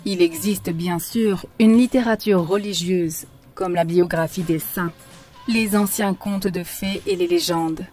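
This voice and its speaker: A woman voicing a calm, wise woman who teaches an old man about spiritual culture. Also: lowish voice, a little slow, soft background music. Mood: instructive. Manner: calm, instructive.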